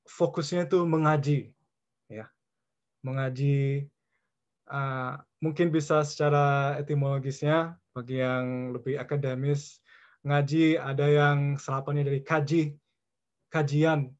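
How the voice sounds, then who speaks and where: A male religious speaker from California with a moderately high voice gives an informal Islamic lecture. He moves in short, halting phrases with pauses mid-sentence and slips English words in as he goes. The audio is somewhat muffled and low in fidelity. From California, United States.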